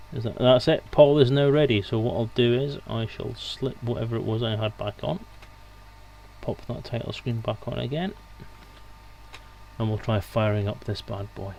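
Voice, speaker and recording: A man's voice: medium pitch, moderate pace, vocal fry, a little mellow, a little hiss or hum, low-quality recording. A man hosting a live stream in casual, unscripted, rambling chat.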